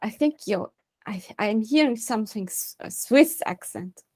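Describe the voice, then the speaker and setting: A woman's voice: fairly high pitch, animated, normal speed, darkish tone, no echo. A woman in her forties with a Hungarian accent, a native Hungarian speaker, chatting casually on a video call from a small room at home, hesitant and restarting often. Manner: hesitant, restarting, curious, laughing lightly. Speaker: meeting moderator. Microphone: earphones with a cable mic on a video call.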